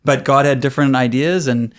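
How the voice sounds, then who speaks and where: A man in his forties with a South African accent, a pastor telling his story as a guest in a casual, relaxed podcast chat. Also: mid-range voice, fairly quick. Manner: relaxed, storytelling, laughs easily. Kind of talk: casual conversational interview.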